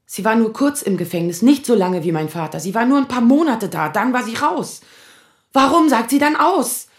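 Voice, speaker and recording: A woman's voice: on the high side, expressive, roomy sound. An actress playing a distraught woman in her thirties in an audio drama, speaking in anxious, stammering bursts. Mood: agitated, fearful. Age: 30s. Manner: halting, stammering. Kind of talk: naturalistic audio drama acting.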